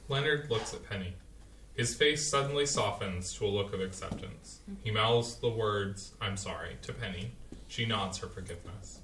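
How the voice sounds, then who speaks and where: A man's voice: fairly low pitch, moderate pace, a bit bright, close to an everyday voice. A man reading stage directions aloud as narrator for a play reading. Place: indoor playhouse staged reading. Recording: echoey room, distant, noisy.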